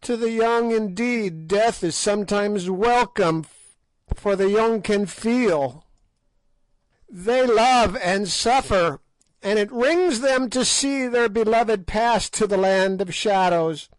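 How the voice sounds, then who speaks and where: A man's voice: slow, dry recording, low-quality recording. A man voicing an ancient, wicked old woman who shrieks and cackles. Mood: cackling scorn. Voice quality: shrill, cackling. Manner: screeching, mocking. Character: ancient shrieking female witch, wicked and gloating. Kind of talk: audiobook character voice.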